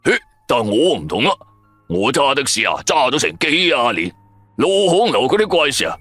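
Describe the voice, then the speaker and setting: A man's voice: medium pitch, big pitch swings, moderate pace, fairly bright tone, dry recording, faint music behind. A man voicing a chatty, boastful middle-aged night taxi driver in a Cantonese audio drama, hearty and bragging. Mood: smug.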